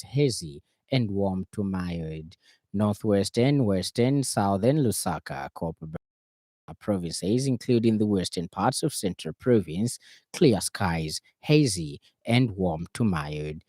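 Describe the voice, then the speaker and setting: Mellow low-voiced Zambian male newsreader. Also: dry recording. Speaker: radio news reader. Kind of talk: scripted news bulletin narration. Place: radio studio.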